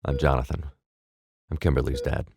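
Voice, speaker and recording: An actor playing a worried but firm middle-aged father in an audio drama, measured and a little hesitant. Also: rumbling low, level tone, vocal fry, noisy background. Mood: guarded.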